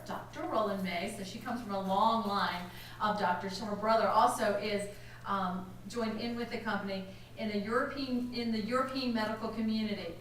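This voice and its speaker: A woman hosting an event introduces the next speaker in a loose, casual way. Her voice sits a little high and comes from far off in a very echoey hall. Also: fairly bright tone, a little hiss or hum. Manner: informal, with fillers. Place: conference hall.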